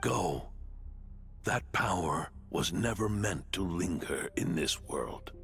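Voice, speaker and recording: A gravelly, creaking old knight speaks slowly and haltingly, as if spending his last breath. He is gentle and tender, a video game character in a farewell scene with music behind him. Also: low-pitched, expressive, exaggerated voice. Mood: fading, resolute. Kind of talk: dramatic game voice acting.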